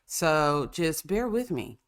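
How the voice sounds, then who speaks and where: A woman's voice: low voice, sing-song, slow pace. A woman hosts a casual podcast while stuffed up with a cold. She tells stories with sassy, profane humor and laughs often. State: congested, coughing. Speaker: podcast host. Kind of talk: casual chat.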